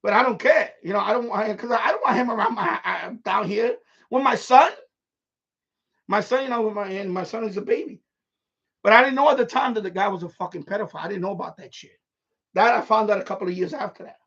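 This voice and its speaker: A man with a husky voice, telling stories in a blunt, repetitive, sweary way on a casual live stream. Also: high voice, fast talker. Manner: blunt, profane, repeats himself, animated. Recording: dull, lo-fi livestream audio.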